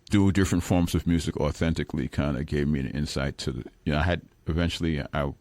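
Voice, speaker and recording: A man with an extremely low, deep voice talks at length as a radio guest, circling back and restarting his thoughts as he goes. The studio sound is close and dry, with no room echo. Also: vocal fry, darkish tone. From Brooklyn, New York. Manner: rambling, self-correcting, chuckles now and then. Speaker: guest musician. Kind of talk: relaxed radio interview talk.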